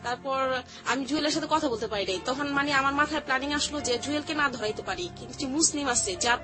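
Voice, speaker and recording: A woman's voice: high voice, fast. A woman with a Bangladeshi accent giving a personal account as an interview guest, explaining in colloquial, repetitive phrases. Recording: music playing behind the voice. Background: background music.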